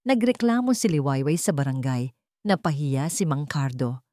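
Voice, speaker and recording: Woman voicing a low, deferential male henchman. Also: lively intonation, normal speed, warm tone, dry recording, clean studio sound. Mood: reporting, deferential. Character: scar-faced male henchman, clipped and obedient.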